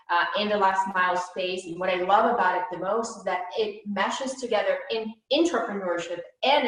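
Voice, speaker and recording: A woman speaks as a panelist, giving a conversational, professional introduction. Her pitch is medium and the room around her voice echoes heavily. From Brazil.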